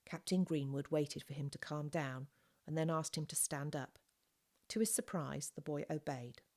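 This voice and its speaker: Low-pitched woman reading a story. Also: moderate pace, a little mellow. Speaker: audiobook reader. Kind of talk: scripted storybook narration.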